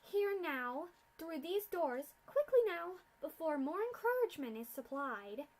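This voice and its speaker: A woman's voice: extremely high pitch, big pitch swings, unhurried, a little mellow, voice pushed up, full character voice. A woman voicing a sadistic, mocking female pony scientist in a fanfic reading, with a theatrical, mock-polite sneer.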